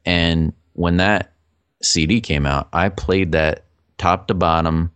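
A middle-aged man with a low voice, co-hosting a casual podcast chat in a chatty, rambling way. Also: fairly even intonation, takes it slowly, a bit bright, clear, resonant tone, dry recording. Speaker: podcast co-host.

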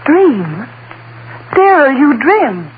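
An actress playing a devoted, solicitous sweetheart, earnest and easily alarmed, in theatrical, pleading old-time radio drama style. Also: female voice, high-pitched, sing-song, extremely slow, phone-quality sound, full character voice. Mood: tender concern. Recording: noisy, band-limited old radio broadcast.

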